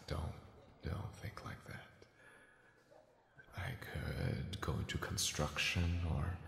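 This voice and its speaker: A man acting a gruff, guarded young bully softening toward his sweetheart, in an intimate, halting ASMR roleplay, often near a whisper. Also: extremely low pitch, full character voice. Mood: dejected, self-doubting. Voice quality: husky, gravelly, breathy voice.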